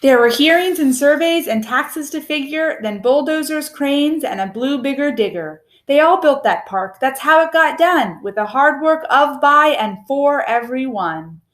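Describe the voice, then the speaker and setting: A woman reads a children's picture book aloud expressively, with a measured, rhythmic delivery of rhyming verse. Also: sits high, expressive, fairly bright tone.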